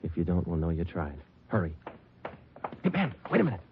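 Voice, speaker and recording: Stoic detective: deep, clipped American delivery, muffled 1940s radio drama sound. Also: male voice, a little fast, vocal fry, background noise, full character voice. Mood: urgent, hushed. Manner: clipped, matter-of-fact, deadpan. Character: terse, stoic male police detective. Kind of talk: low-key 1940s radio drama acting. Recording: dull vintage radio recording, music behind.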